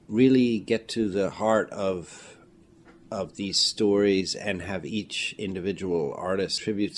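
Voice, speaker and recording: Deliberate, low-pitched man explaining his thinking. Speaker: interview guest, filmmaker and producer. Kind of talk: reflective conversational talk. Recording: faint background room noise.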